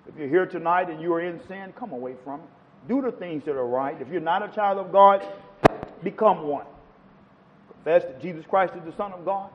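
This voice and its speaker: A man's voice: fairly high pitch, fairly quick, in a large hall, muffled recording, a little hiss or hum. A man preaching a sermon to a congregation, quoting scripture with rising and falling emphasis. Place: church.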